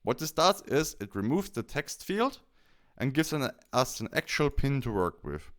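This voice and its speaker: A man narrates a software tutorial in a casual, step-by-step manner. His voice is clean and smooth, and his pitch moves around a good deal as he explains. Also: big pitch swings, normal speed. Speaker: tutorial presenter.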